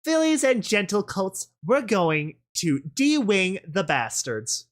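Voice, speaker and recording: A man's voice: high voice, very animated, takes it slowly, voice pushed up, heavily acted. A man voicing a menacing female pegasus boss in a story reading, blunt, commanding and sneering. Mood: devious glee. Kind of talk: dramatic audio fan-fiction reading.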